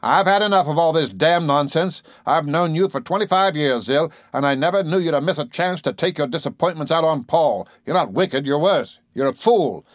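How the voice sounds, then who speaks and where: A man reading an audiobook voices a blustering middle-aged businessman who lectures and brags in a loud, hectoring way. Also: mid-range voice, brisk, dry recording, studio quality, close to an everyday voice.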